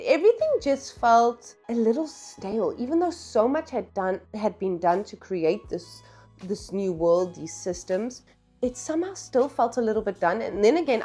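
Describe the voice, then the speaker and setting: A woman's voice: medium pitch, darkish tone. Candid, chatty woman over music. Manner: candid, opinionated, slangy and emphatic. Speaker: book reviewer, vlog host. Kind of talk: casual, chatty book review vlog.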